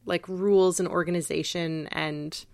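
A woman's voice: on the low side, fairly even intonation, normal speed, creaky, a little mellow, dry recording. A woman co-hosting a podcast in casual analytical discussion, emphatic and reflective, sprinkling in "like" as she thinks aloud. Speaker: podcast co-host.

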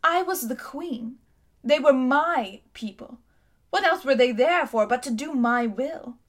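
Woman doing storybook tyrant queen, imperious, loud, haughty, a little higher. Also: lively intonation, unhurried. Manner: haughty, commanding, grand.

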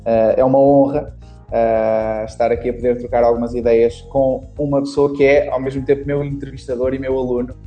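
A man's voice: mid-range voice, little pitch movement, brisk, lo-fi sound, faint music behind. A young adult man with a European Portuguese accent, a guest on a casual podcast interview telling his story at length. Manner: long rambling storytelling. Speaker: guest, marketing instructor.

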